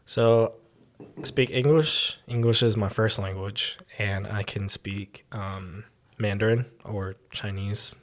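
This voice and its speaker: A young man who grew up abroad, answering interview questions as a church intern, with many pauses and restarts. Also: lowish voice, steady tone. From raised in Taiwan, born in the United States. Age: young adult. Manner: hesitant, many ums and restarts.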